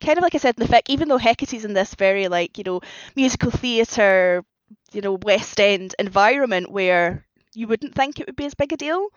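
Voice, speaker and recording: A woman's voice: fairly high pitch, expressive, fairly quick, dry recording. A woman talking casually as a guest writer on a podcast, with slightly dull, lo-fi sound. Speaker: guest author on a fan fiction podcast.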